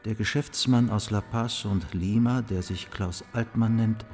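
An actor playing a formal public prosecutor, reporting findings officially and precisely in a radio docudrama. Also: male voice, lowish voice, steady tone, unhurried, close to an everyday voice. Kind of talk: radio docudrama letter reading.

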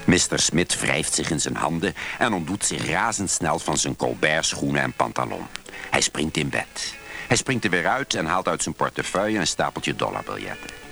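An actor playing the narrator of a bawdy radio comedy, reading scripted description deliberately and with relish. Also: male voice, a bass voice, expressive, creaky, pushed and bright, soft background music, heavily acted. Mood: brisk, wry. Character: suggestive, deadpan male narrator of a bawdy comedy.

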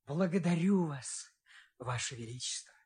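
An actor playing an earnest young hero in love in a fairy-tale audio play, humble and heartfelt. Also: male voice, fairly high pitch, animated, darkish tone, close to an everyday voice. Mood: grateful, loving. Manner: humble, heartfelt, halting delivery.

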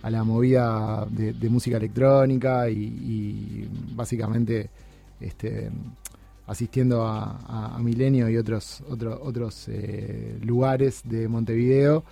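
Un hombre uruguayo de treinta y tantos, invitado en una radio, habla con muchas pausas, titubeos y repeticiones. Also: male voice, on the low side, flat delivery, creaky, dark tone, soft background music. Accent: Uruguayan Spanish. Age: 30s. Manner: halting, many restarts and fillers. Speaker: guest. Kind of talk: casual interview chat. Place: radio studio.

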